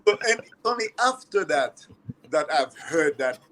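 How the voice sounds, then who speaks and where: A man's voice: a little high, very animated, normal speed, fairly bright tone, low-quality recording. Older haitian guy telling stories in conversation, leans on words and repeats them for emphasis. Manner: emphatic, repeats phrases for effect. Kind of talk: conversational storytelling.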